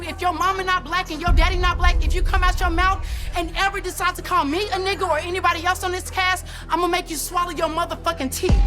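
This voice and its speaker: A woman in a heated confrontation, cursing and shouting threats, in a reality show clip. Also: extremely high pitch. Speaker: reality show cast member.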